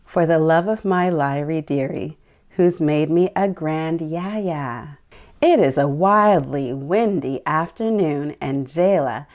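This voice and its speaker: Slow, mellow woman hosting children's storytime. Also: low-pitched. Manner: exclamatory, encouraging, asks the children questions. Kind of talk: picture book read-along addressed to young children.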